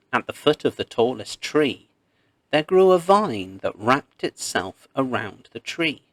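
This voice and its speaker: A man reading a myth aloud as a storybook narrator, expressive and measured, with pauses. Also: medium pitch, no room sound.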